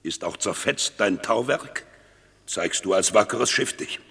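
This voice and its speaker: An actor playing a stern, gruff enemy warlord who questions a stranger in a radio play. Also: male voice, lowish voice, animated, unhurried, bright, forceful tone, big-room sound, heavily acted.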